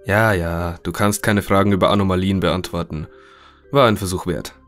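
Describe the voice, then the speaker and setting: A man's voice: sits low, steady tone, normal speed, a bit bright, soft background music. A man playing a courteous, inquisitive scientist conducting an interview in an audio drama, matter-of-fact. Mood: businesslike, curious.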